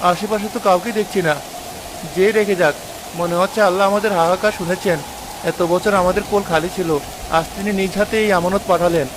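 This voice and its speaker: A man voicing a kind-hearted village husband and father, earnest and expressive in a cartoon drama. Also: sits high, fast, noisy background, lightly acted. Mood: amazed, thankful.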